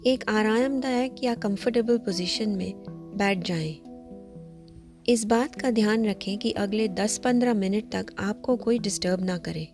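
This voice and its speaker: Female meditation guide, medium pitch, gentle scripted instruction, light music bed underneath. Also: measured pace. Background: background music.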